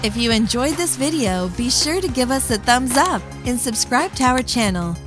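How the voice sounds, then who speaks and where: A woman reading a promotional channel outro in a smooth, scripted way. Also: animated, unhurried, loud music behind the voice. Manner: smooth, read promo pitch. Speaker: announcer.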